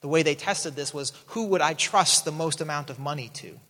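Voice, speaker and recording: A man's voice: a little high, fairly quick, sharp tone, big-room sound. A man in his thirties who grew up in South America, preaching a sermon in an earnest way and often restarting his sentences. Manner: earnest, repeats words when starting a thought. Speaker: pastor.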